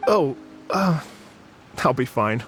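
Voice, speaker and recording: An actor playing a polite, dreamy travelling musician in a video game, courteous, hesitant and slightly flustered. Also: male voice, mid-range voice, lively intonation, slow, a bit bright, faint music behind.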